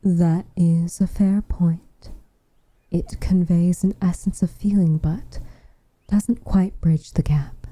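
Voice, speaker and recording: Woman playing a low, whispering, reserved witch. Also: fairly even intonation, dry recording. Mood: gentle, tentative. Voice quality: soft, breathy whisper. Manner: measured, formal, hesitant phrasing. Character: reserved tsundere witch, guarded but tenderly affectionate. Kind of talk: whispered ASMR roleplay.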